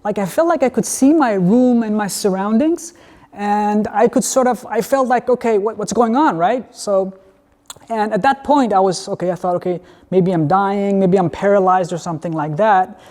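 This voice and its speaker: A man from Denmark gives a conversational university lecture with a mellow, relaxed tone. He often asks the audience questions. His voice rings with the long reverb of a lecture hall. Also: high voice, moderate pace. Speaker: guest lecturer.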